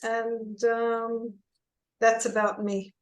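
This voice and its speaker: A woman's voice: fairly high pitch, level tone, extremely slow. Earnest woman from Israel, echoey room. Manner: earnest, hesitant with many fillers. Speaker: guest, hospital blood bank physician. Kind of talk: casual interview answers.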